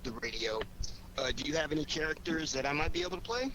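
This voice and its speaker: Higher-pitched man on a noisy recording. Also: animated, quick pace. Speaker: panel guest seeking acting roles. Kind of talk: casual group chat.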